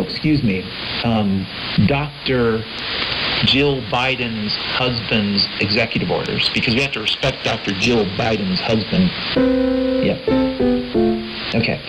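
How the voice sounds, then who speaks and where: A man hosting a radio talk show, delivering an emphatic conversational monologue with repeated phrases, heard over shortwave radio. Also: mid-range voice. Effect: shortwave radio broadcast. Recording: hissy, noisy shortwave radio reception.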